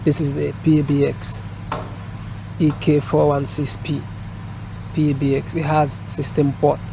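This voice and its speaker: A man giving an unscripted hands-on installation tutorial as a technician, explaining step by step in a halting way. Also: a little high, monotone, vocal fry. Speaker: technician presenting a product installation. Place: indoors at a desk, filmed from above. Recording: noisy room with street and vehicle sounds.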